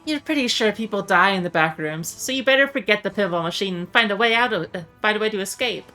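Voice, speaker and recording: A woman's voice: mid-range voice, fast talker, pushed and bright, rough recording. A woman streamer casually reading video game narration aloud. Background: music.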